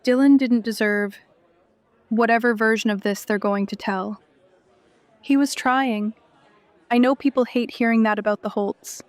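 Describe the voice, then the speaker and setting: An actress playing a grieving, candid young woman in an audio drama, speaking in a halting, earnest way. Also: fairly high pitch, steady tone, dry recording, close to an everyday voice. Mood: earnest grief.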